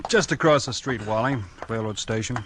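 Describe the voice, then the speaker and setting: A man playing a dry, laconic American small-town police chief in a 1940s radio drama. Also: medium pitch. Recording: noisy old 1940s radio recording.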